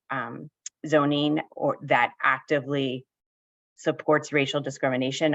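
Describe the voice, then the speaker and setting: A woman candidate giving measured, polished statements over a video call. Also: extremely low pitch, fairly bright tone, dry recording, muffled recording. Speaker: county board candidate.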